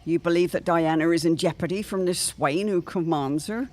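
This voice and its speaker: A woman's voice: fairly low pitch, moderate pace, darkish tone, lightly acted. A woman playing an anxious, eloquent neighbourhood matron in a period radio drama, speaking in formal, theatrical phrases. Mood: earnest, concerned. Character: Jane Pembroke, anxious, eloquent older neighbourhood matron with premonitions.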